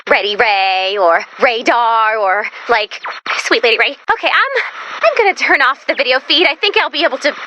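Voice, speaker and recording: A woman's voice: a little high, lively intonation, sharp tone, slight background noise. A woman voicing a perky, nervous, geeky female robot assistant in a video game, chatty, quirky and easily flustered. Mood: flustered, smitten. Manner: flustered, quirky, halting then gushing. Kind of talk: animated video game character voice lines.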